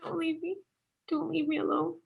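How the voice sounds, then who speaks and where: A woman's voice: very high-pitched, flat delivery, moderate pace, gravelly, phone-quality sound, exaggerated voice. A woman acting an anguished, volatile author in a stage play reading, impassioned and pleading, repeating her words with emphasis. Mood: desperate.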